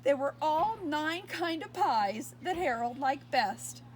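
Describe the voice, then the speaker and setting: A woman with a high, bright voice reads a children's picture book aloud. Her pitch swoops up and down with expression, and she stops now and then to ask her young listeners what comes next. A faint noise sits under the recording. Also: slow. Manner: expressive, asks listeners questions. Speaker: storyteller.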